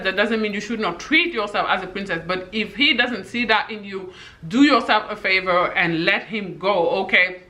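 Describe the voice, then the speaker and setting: A woman in her thirties with a husky voice and a delivery, giving emphatic dating advice straight to camera and ending her points with "okay?". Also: medium pitch, sharp tone. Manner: emphatic, repeats "okay?" to drive points home. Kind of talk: direct-to-camera advice monologue.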